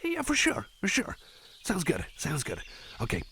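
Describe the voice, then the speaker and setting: A man's voice: high voice, huge pitch swings, bright, forceful tone, slight background noise, heavily acted. A man playing a shy, friendly cyborg chum in an ASMR roleplay, stammering, repeating himself and putting himself down. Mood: friendly, rambling. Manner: stammering, halting, repeats phrases, self-effacing. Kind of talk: ASMR roleplay, personal attention.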